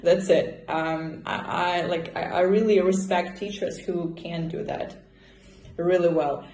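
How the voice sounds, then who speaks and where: A woman's voice: on the low side, steady tone, measured pace, a little mellow, a little hiss or hum. A woman from Russia, a native Russian speaker with a Russian accent, chatting casually and expressively as she answers viewers' questions in a vlog. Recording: roomy indoor echo.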